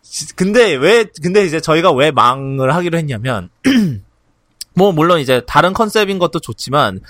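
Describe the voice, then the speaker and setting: A man hosting a podcast in a casual, halting way, often clearing his throat between phrases. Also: on the high side, fairly bright tone, no echo, clean studio sound. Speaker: podcast host. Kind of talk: casual podcast outro and announcements.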